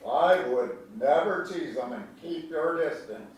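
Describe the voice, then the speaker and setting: A man's voice: fairly high pitch, expressive, a little slow, a little mellow. An actor playing a folksy older country man, a kindly, teasing storyteller with a homespun drawl, in a theatrical staged reading. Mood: playful, mock alarm. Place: large echoey indoor room at a staged reading. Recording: echoey hall, distant mic, faint noise.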